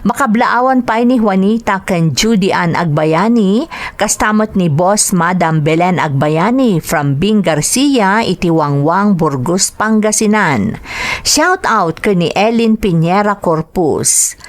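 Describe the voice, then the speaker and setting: A woman's voice: medium pitch, expressive, takes it slowly. A woman with an Ilocano accent, hosting a radio drama program and reading out listener greetings. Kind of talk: reading listener shout-outs and a letter.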